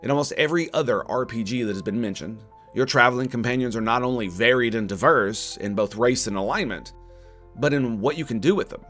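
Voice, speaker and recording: A man's voice: medium pitch, brisk, fairly bright tone. A man narrating a scripted video game critique in an opinionated, sardonic manner. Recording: music under the voice. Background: background music.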